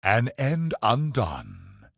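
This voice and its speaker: An actor voicing a grave, bitter, ancient cosmic sorcerer in a video game, speaking in solemn, portentous, theatrical lines with a husky voice. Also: male voice, mid-range voice, wildly swooping pitch, no room sound, clean studio sound, full character voice. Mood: dark, incantatory. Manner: solemn, portentous, dramatic slow delivery.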